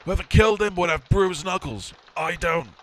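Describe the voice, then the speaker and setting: An actor playing a grumpy, drunk, aggressive brother in an amateur audio drama, sarcastic and confrontational. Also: male voice, high-pitched, moderate pace, a bit bright. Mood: defensive.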